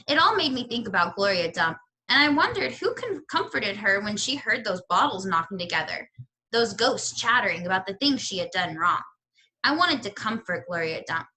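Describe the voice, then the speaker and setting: A woman reading a children's novel aloud like a teacher, with animated, expressive storytelling. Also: mid-range voice, poor audio. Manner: animated storytelling with pauses to question listeners.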